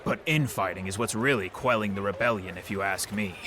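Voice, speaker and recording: A man's voice: on the low side, brisk, noisy background, natural voice. An actor playing a polite, gossipy innkeeper who is eager to serve his customers. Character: friendly, chatty male innkeeper. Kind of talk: game cutscene voice acting.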